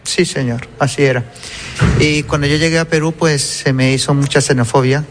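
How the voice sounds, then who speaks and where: A man's voice: on the high side, fairly even intonation, normal speed, soft background music. A middle-aged man from Venezuela, telling his migration story in an interview in an earnest way.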